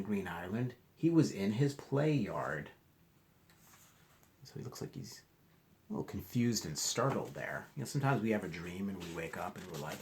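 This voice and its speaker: A man reading a storybook aloud to children. His pitch swings widely and has a gravelly creak. He is expressive and often turns to the young listeners with questions and chatty asides. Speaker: children's storybook reader, teacher.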